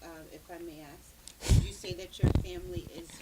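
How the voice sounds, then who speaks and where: A woman's voice: lowish voice, fairly even intonation, normal speed, very noisy. A woman hosting an interview, asking meandering questions full of fillers. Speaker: host. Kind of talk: interview conversation.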